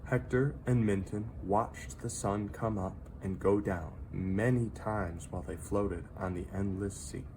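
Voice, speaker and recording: A reader narrating a children's story aloud, with dramatic, drawn-out emphasis on key words. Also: sits low, fairly even intonation, darkish tone, a little hiss or hum, low-quality recording.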